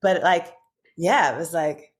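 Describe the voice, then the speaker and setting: A woman guest on a podcast from Washington, D.C., telling childhood stories in a relaxed, animated way with lots of fillers. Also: lowish voice, measured pace. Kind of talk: casual conversation.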